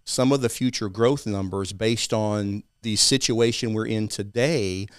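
A man's voice: lowish voice, measured pace, clean tone, no room sound, studio quality. A man from East Texas with an East Texas accent, a guest talking casually in an interview and often checking in with "right?".

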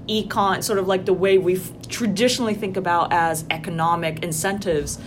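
A woman's voice: fairly low pitch, fairly even intonation, moderate pace, music in the background. A woman from Indonesia in a casual academic conversation, speaking thoughtfully with frequent ums and restarts. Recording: noisy background hiss.

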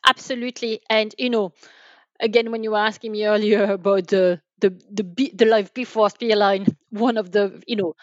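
A woman's voice: mid-range voice, fairly even intonation, moderate pace, no echo. A woman guest explains things from experience in an interview. She is wordy and emphatic, often restarting a phrase. The sound is close and dry, a little dull and lo-fi. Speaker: guest, enterprise sales manager.

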